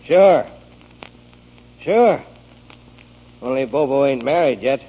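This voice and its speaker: A man's voice: mid-range voice, lively intonation, slow, husky. A man playing a sly, menacing troublemaker in a 1940s radio drama. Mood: sly, sneering. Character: sly, menacing tough guy, insinuating troublemaker. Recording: dull, noisy 1940s radio recording.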